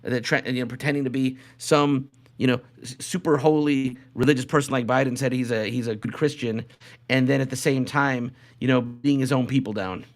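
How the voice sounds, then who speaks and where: A man co-hosting a casual unscripted podcast, speaking haltingly and repeating phrases for emphasis. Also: mid-range voice, fairly even intonation. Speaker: podcast co-host.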